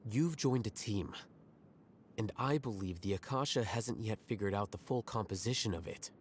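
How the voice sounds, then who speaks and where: An actor playing a calm young male scholar in a video game, reasoning in a measured, articulate, lecturing way. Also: on the low side, a little hiss or hum, lightly acted. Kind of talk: scripted video game dialogue.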